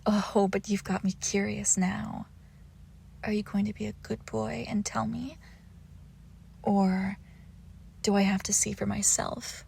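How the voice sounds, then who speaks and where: Woman acting a teasing, haughty young vampire, slightly creaky. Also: mid-range voice, flat delivery, unhurried, long pauses, a little mellow, heavily acted. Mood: playful, smug. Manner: teasing, coy, entitled. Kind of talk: intimate roleplay audio acting.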